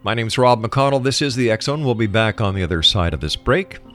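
Low-voiced male radio host. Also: animated, a little fast, fairly bright tone, faint music behind. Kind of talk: radio interview hosting.

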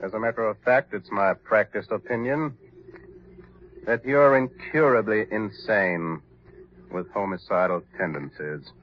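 An actor playing a treacherous asylum doctor in an old radio crime drama, pompous and coolly clinical. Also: male voice, fairly low pitch, slight background noise, natural voice. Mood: smug, clinical. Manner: pompous, measured clinical mockery. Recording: dull lo-fi old radio recording.

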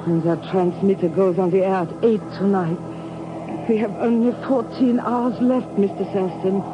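A woman's voice: medium pitch, steady tone, moderate pace, over music, lightly acted. An actress playing an earnest woman agent in a radio drama, with formal, precise phrasing. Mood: anxious, grim. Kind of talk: 1950s radio drama acting. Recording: muffled, noisy 1950s radio recording.

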